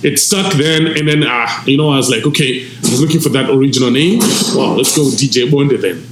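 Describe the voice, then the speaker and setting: A man from Zimbabwe whose first language is Shona, a DJ telling his story in a casual, animated way with laughs and shout-outs, in a restaurant lounge. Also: mid-range voice, fairly quick, echoey room, a little hiss or hum, rough recording. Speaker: DJ guest.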